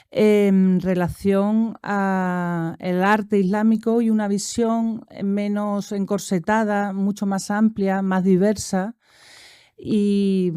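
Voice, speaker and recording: A woman's voice: medium pitch, warm tone, clean tone, no room sound, studio quality. A middle-aged woman moderating a conference panel, introducing speakers with many fillers and restarts.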